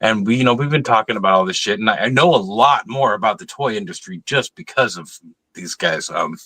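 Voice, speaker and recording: A man's voice: on the low side, expressive, brisk, pushed and bright, no echo. A drunk man giving opinions in casual chat, stammering and repeating words as he starts his sentences.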